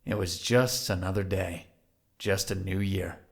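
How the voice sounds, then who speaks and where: A man's voice: low-pitched, steady tone, a little slow, gravelly. A man narrating an audio drama from a script.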